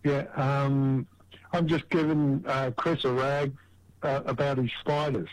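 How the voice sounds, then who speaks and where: A man's voice: mid-range voice, fairly even intonation, slow pace, no echo, rough recording. A man from Australia with an Australian accent, calling in to a radio show and teasing a host in an easygoing way. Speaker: caller.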